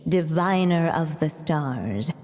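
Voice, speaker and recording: A slow, mellow, low-toned storybook witch, narrating formally and with motherly resolve. The game voice is measured and carries a long reverb over music. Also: expressive.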